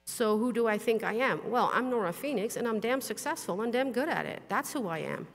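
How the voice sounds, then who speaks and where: A woman's voice: a little high, lively intonation, brisk. A middle-aged woman whose first language is Dutch, giving a frank, plain-spoken conference talk with some mild swearing. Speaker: conference speaker. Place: large conference hall. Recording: long hall reverb.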